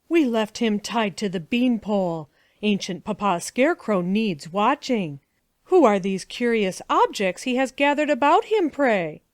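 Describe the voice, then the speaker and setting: A woman's voice: medium pitch, animated, measured pace, no room sound, studio quality, lightly acted. A woman voicing a wicked, scheming male prince who hisses and barks harsh commands.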